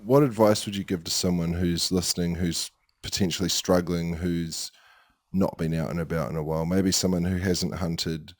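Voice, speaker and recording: Low-voiced podcast host, thoughtful and measured, asks long questions, dry sound. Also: male voice, steady tone, no echo. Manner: measured and reflective, with thoughtful pauses. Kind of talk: interview questions.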